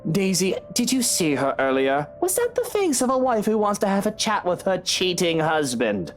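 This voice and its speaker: A man's voice: sits high, huge pitch swings, moderate pace, faint music behind. A man voicing a sardonic, brooding cheating husband in a visual novel game, with a sarcastic, dismissive manner. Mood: defensive. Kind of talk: dramatic visual novel voice acting.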